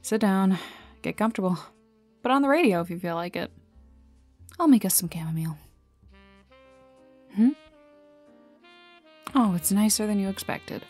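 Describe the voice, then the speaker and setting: A woman's voice: mid-range voice, animated, normal speed, pauses often, darkish tone, close to an everyday voice. A woman playing a sarcastic female private detective in a noir ASMR roleplay, with a dry, casually streetwise delivery. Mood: casual, dryly hospitable. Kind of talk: noir ASMR audio roleplay, intimate second-person acting. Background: music, rain and city ambience.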